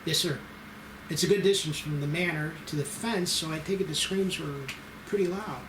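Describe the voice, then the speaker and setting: Man acting cocky male agent, breezy, wry, slightly high voice, noisy echoey recording. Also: moderate pace, natural voice. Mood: businesslike. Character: cocky, unflappable male federal child services special agent. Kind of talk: amateur screenplay table read. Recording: roomy echo with clear noise.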